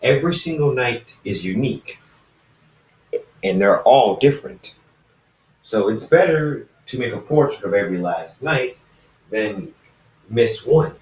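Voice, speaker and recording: A man reading the part of a brooding, stubborn hybrid pony student in a fan fiction story, in a halting, matter-of-fact way. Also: mid-range voice, expressive, a little mellow, lightly acted. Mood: earnest. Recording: roomy echo, distant from the mic.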